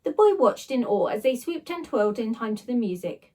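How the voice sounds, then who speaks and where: Female children's story narrator, teacherly: animated, dramatic, slightly high, a bit off-mic. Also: fairly quick. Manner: dramatic, expressive, emphatic sound words. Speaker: teacher reading aloud. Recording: voice a little distant from the microphone.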